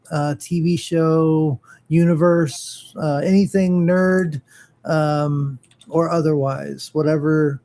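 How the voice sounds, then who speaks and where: A man's voice: on the high side, dark tone. A man co-hosting a casual talk show, hesitant with frequent fillers. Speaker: co-host. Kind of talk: casual chat.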